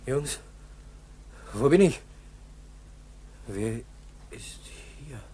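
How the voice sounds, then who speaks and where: A man's voice: mid-range voice, lively intonation, drawn-out words, warm tone, full character voice. A man playing a weak, wounded Russian airman, helpless and desperate, in a 1960s German radio drama. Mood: dazed. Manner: swings from breathy whisper to pleading cries. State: weak and exhausted.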